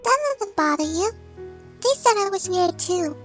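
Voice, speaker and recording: A woman's voice: very high-pitched, measured pace, a bit bright, faint music behind. A woman voicing a kind, quirky, imaginative little filly with a childlike, playful and earnest manner.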